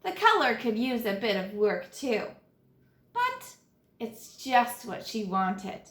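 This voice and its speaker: A woman teacher reading a children's picture book aloud, with dramatic, deliberate phrasing and stressed key words. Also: a little high, big pitch swings, a bit bright, reverberant. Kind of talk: expressive children's read-aloud narration.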